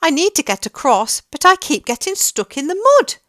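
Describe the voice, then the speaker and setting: A woman's voice: high-pitched, very animated, moderate pace, fairly bright tone, dry recording, studio quality, voice pushed up. A woman voicing a miserable storybook dog with a plaintive, pleading manner. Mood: distressed.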